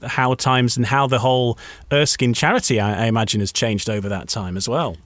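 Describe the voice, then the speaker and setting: A man's voice: medium pitch, steady tone, brisk, fairly bright tone, dry recording. A Scottish man hosting a radio interview, introducing and questioning his guest in a friendly, slightly hesitant way with repeated words. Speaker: radio host.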